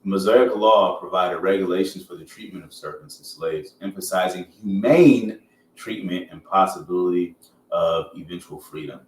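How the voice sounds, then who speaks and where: Deep-voiced American man in an echoey room. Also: normal speed. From Georgia, United States. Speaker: podcast host. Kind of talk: casual podcast talk with some reading aloud from a reference. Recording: roomy echo, distant mic, faint noise.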